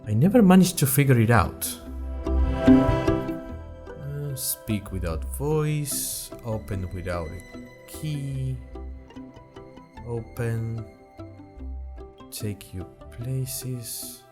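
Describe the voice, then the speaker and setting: A man's voice: mid-range voice, lively intonation, very slow, pauses often, music in the background. A man playing an earnest young college student who tells his story in a wry, conversational way, as host of an audio drama diary. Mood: musing. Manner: conversational, wry, addresses listeners directly. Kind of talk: scripted first-person audio drama narration.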